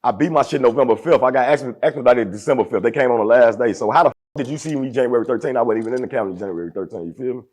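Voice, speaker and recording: A man with a deep voice speaking as an interview guest in casual, aggressive street slang. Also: rapid-fire, few pauses, darkish tone.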